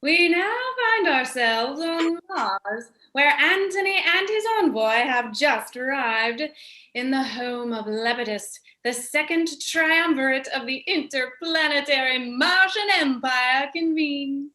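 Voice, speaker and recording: A woman's voice: sits high, animated, takes it slowly, pushed and bright, reverberant, heavily acted. A woman playing a grand narrator who sets the scenes in a scripted radio play. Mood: announcing.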